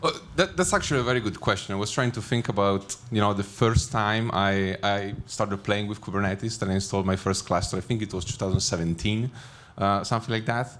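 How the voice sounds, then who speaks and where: A man's voice: lowish voice, a little fast, few pauses, fairly bright tone, slight background noise. A man answering panel questions on a conference stage, chatty and laughing often as he thinks aloud. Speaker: panel guest, Kubernetes trainer. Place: conference stage before an audience.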